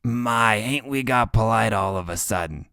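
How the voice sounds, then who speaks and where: A man reading a friendly young woman who teases gently, calling him sugar in a soft drawl. The voice stays close to the reader's own pitch, dry and near the mic. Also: normal speed, creaky, clean tone, no echo. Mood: teasing. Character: Southern-talking young woman, teasing and friendly. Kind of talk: audiobook character voice.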